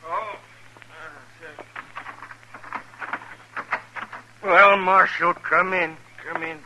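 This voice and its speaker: An actor playing an agitated, indignant frontier bank owner in a western radio drama, with an American accent and a fretful, scolding manner. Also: male voice, high-pitched, fairly even intonation, measured pace, bright, forceful tone, highs cut off, a little hiss or hum, heavily acted. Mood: wary.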